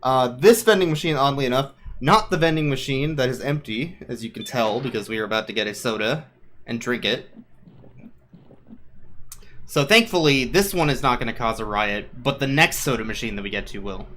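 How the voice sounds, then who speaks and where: A man's voice: medium pitch, animated, normal speed, fairly bright tone, slight background noise. A man giving casual, wry live commentary as he plays through a video game speedrun. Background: game music.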